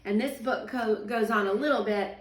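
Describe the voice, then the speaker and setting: Expressive woman reading a children's story. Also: on the high side, moderate pace. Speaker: teacher reading aloud. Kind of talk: children's picture book read-aloud. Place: home. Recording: echoey room, voice a little far from the mic.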